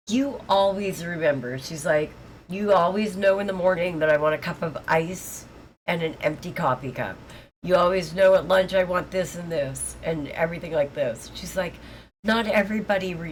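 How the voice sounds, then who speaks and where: A woman streamer with a hoarse, cold-roughened voice, chatting casually and telling animated stories to her co-hosts. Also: on the low side, a little hiss or hum, poor audio. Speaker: streamer and server owner. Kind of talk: casual chat on a live stream.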